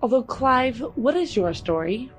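A woman's voice: on the high side, expressive, measured pace. A woman talking casually as a player in a tabletop role-playing game, discussing what to do. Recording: music under the voice.

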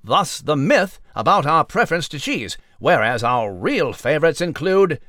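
An actor playing a pompous, scholarly little mouse delivering a formal, wordy mock news report in a children's audio drama. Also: male voice, on the high side, very animated, moderate pace, sharp tone, no echo, studio quality. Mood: pompous, earnest.

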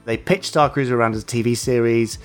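A man's voice: mid-range voice, fast talker, smooth, soft background music. A British man co-hosting a podcast in casual chat, with a hesitant, jokey way of talking. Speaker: podcast co-host.

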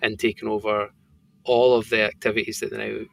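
A man's voice: on the low side, little pitch movement, a little fast, creaky, a bit bright, a little hiss or hum. A man with a thick Scottish accent, a company founder talking casually as a podcast guest, rambling with lots of fillers. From Scotland. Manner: rambling, filler-heavy storytelling.

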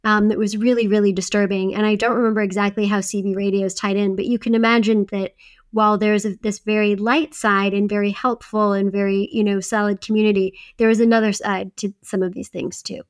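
Lady podcast cohost, medium pitch, casual thoughtful chat. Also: flat delivery, fairly quick. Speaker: podcast co-host. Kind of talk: casual reflective conversation.

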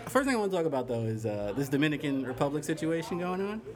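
A man's voice: a little high, big pitch swings, fast talker, over music. A man from the Bronx hosting a live podcast in a bar, talking in an easygoing, laid-back way and laughing often. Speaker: podcast host. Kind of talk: casual live podcast chat. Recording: live bar recording with crowd. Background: crowd chatter.

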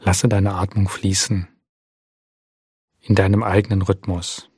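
Calm guy doing a guided meditation, lowish breathy voice, gentle n unhurried, really dry recording. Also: dry recording. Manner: calm, gentle, unhurried instruction with long pauses. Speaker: meditation instructor. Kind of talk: guided body scan meditation.

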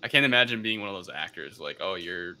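A man with a low, very bright and forceful voice hosts a casual movie discussion. He recounts things haltingly, rambling as he feels his way through each point. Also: animated, clear, resonant tone. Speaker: podcast host. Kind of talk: casual film review discussion.

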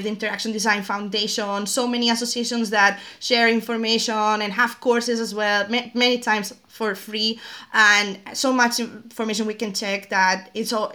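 A woman guest in an interview explaining her work, pausing often with fillers and restarts. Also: fairly high pitch, bright, forceful tone. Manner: hesitant, many fillers and restarts. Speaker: podcast guest, SEO specialist. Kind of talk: interview answers.

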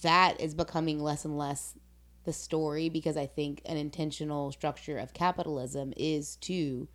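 Low-pitched woman talking earnestly and deliberately. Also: fairly even intonation. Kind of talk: casual philosophical conversation.

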